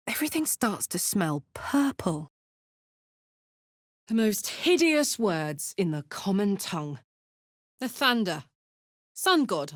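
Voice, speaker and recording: A tough, fiery video game warrior with a big heart and a casual, sweary swagger. Her delivery takes its time and swings widely in pitch, moving between blunt bravado and earnest loyalty. The sound is dry and clean, with no room around it. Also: dry recording. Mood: frank, warm. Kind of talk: video game character voice lines.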